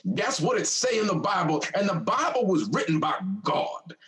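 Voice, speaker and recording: An actor playing a teasing, insistent young older brother in an audio drama, drawling and stammering at times. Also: male voice, high voice, very animated, moderate pace, fairly bright tone. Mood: mock preaching, taunting. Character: teasing older brother, a restless young man who has died. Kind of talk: stage-style audio drama dialogue.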